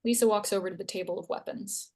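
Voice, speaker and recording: A woman's voice: medium pitch, fairly even intonation, fast talker, a little mellow. A woman reading scene headings and stage directions aloud as narrator at a screenplay table read.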